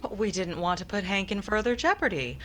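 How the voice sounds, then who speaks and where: A woman's voice: medium pitch, big pitch swings, fairly quick, a bit bright, a little hiss or hum. A woman playing a composed, articulate doctor's wife recounting events in a 1950s-style radio comedy. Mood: composed, earnest.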